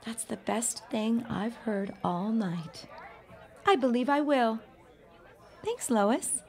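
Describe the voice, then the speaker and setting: A woman's voice: fairly high pitch, lively intonation, very slow, pauses often, heavily acted. A woman playing an anxious, talkative 1910s bride-to-be in a period audio drama, wry and exasperated. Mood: relieved. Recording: party crowd chatter behind.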